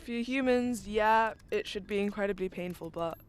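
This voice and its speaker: A woman playing a weary, guilt-worn female engineer in an audio drama, speaking earnestly and measured. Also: mid-range voice, clean tone, lightly acted.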